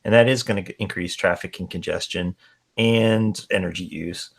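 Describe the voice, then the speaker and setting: Blunt, skeptical low-voiced man. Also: normal speed, vocal fry. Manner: skeptical, emphatic, swears for emphasis. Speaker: podcast co-host. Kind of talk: casual podcast discussion.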